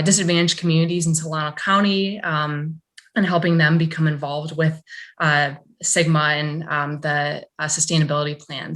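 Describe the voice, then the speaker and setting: A young woman from Michigan introducing herself at a public meeting over a video call, talking in a halting way with many fillers. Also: low-pitched, fairly bright tone. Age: young adult. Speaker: new science fellow.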